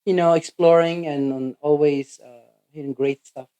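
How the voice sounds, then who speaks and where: A man from Turkey with a Turkish accent, speaking English as a second language. He is a physical therapist guest on a podcast, and he answers haltingly with many "you know" fillers. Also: on the high side, steady tone, warm tone, clear, resonant tone, no echo.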